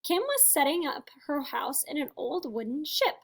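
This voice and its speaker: A woman teacher doing an expressive storybook read-aloud for first graders, chatting to them and asking questions. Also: sits high, moderate pace. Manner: expressive, addressing young children with questions. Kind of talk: animated children's read-aloud.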